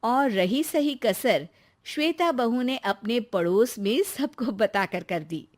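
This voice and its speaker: A woman's voice: on the high side, expressive, slow. A woman narrating a cartoon story.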